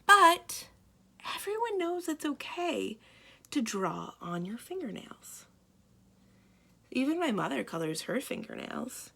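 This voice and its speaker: A woman voicing an excitable little girl in a storybook, pleading and stubborn, with dramatic, whiny delivery. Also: sits high, slow, vocal fry, long pauses, pitched above the performer's own voice, heavily acted. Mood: stubborn, sly.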